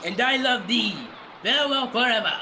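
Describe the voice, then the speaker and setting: A man's voice: extremely high pitch, normal speed, sharp tone, exaggerated voice. A man playing a doomed, lovelorn sea captain with solemn, archaic declamation in an old-time radio drama style. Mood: mournful farewell. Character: doomed ghostly sea captain, older man, anguished lover. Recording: clearly noisy amateur stage recording.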